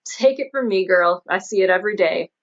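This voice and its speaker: A woman's voice: medium pitch, brisk, lightly acted. A woman as a matronly cautioning policewoman, dull audio. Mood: motherly warning. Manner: motherly, admonishing. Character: matronly, cautioning older policewoman, veteran investigator. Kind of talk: audiobook character dialogue.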